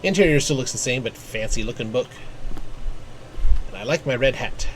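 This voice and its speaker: A man's voice: mid-range voice, moderate pace, fairly bright tone, a little hiss or hum, rough recording. A man doing casual live gameplay commentary as a streamer, rambling and thinking aloud as he goes. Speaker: game streamer.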